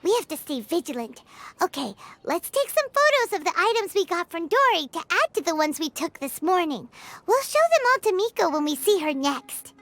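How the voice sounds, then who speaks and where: Squeaky, excitable childlike sprite. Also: female voice, normal speed, no room sound, full character voice. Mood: eager. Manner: exclaiming, emphatic, expressive. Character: small excitable childlike female companion sprite, bossy and cheerful. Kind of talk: video game voice acting.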